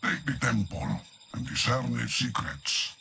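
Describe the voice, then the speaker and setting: An actor playing a scheming older male warlord, speaking in a grave, measured, ominous way. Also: medium pitch, big pitch swings, creaky, background noise, full character voice. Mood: commanding. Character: scheming senile old male warlord, secretive.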